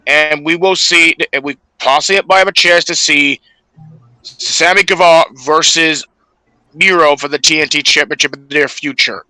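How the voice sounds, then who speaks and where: Very bright-voiced man recapping wrestling. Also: on the high side, steady tone, dry recording, poor audio. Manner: halting, stumbling delivery with repeated words. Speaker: podcast co-host recapping a wrestling show. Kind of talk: show recap from notes.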